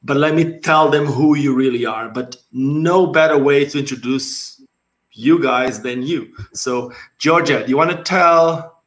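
This is a man in his forties whose first language is Portuguese, and it shows in his accent as he hosts a relaxed interview. His voice sits at a middle pitch, and he comes across as animated and quick to laugh. He speaks through a headset on a video call. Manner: animated, laughing, gestures a lot. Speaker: host. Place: dim home room.

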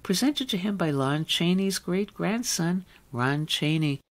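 A woman's voice: fairly low pitch, animated, unhurried, heavy background noise. A woman hosting a radio interview, putting questions to a guest.

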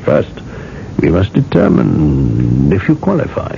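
An actor playing a mysterious, unhurried seller of eternal life in a radio drama, measured and gently amused. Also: male voice, rumbling low, huge pitch swings, creaky, heavily acted. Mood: calm, courteous. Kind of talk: 1980s radio drama acting. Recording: dull, hissy old radio recording.

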